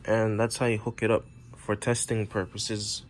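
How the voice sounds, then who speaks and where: A man giving an informal, unscripted how-to tutorial, explaining step by step in a halting way. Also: lowish voice, level tone, music in the background. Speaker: presenter of a how-to repair video.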